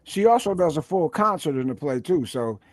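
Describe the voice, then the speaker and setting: A middle-aged American man chatting casually as an interview guest, talking in an animated, long-winded way. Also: on the high side, a little fast, no echo. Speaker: stage play cast member, guest.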